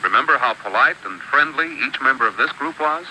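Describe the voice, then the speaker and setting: A man narrates an old classroom film with a very bright, crisp tone. His pitch moves a lot as he sets out each rule in a measured, instructive way. A faint hiss sits under the old soundtrack. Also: expressive, low-quality recording. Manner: measured, instructive, lists rules one by one. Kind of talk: scripted educational narration.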